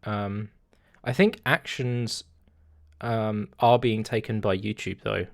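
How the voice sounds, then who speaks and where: A young man in his twenties chatting casually as a podcast host, rambling through his thoughts with plenty of ums. His voice sits on the low side and the mic is dry and close. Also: fairly even intonation, takes it slowly, creaky, no echo. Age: 20s. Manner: rambling, lots of fillers and laughter.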